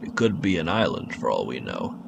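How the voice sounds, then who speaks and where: An actor playing a cold, commanding, secretive expedition boss in an audio drama, curt and controlled in manner. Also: male voice, extremely low pitch, huge pitch swings, fairly quick, gravelly, very noisy, heavily acted. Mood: confident, measured.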